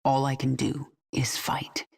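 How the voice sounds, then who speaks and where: A woman's voice: rumbling low, completely flat delivery, a little slow, creaky, slightly rough, exaggerated voice. An actress playing a ruthless alien swarm queen who speaks in a cold, controlled, commanding way.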